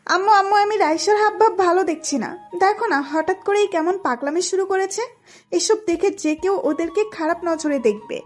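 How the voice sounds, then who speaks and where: A woman's voice: very high-pitched, normal speed, soft background music. A woman playing a protective, exasperated older sister in a dramatised romantic audio story, with a stern, admonishing manner. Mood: worried.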